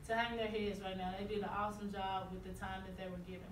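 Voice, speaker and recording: A woman basketball coach answering press questions after a game, earnest and halting with frequent restarts. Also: medium pitch, level tone. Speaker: high school basketball coach. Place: small room at an arena. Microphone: far camera. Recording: roomy, distant, noisy.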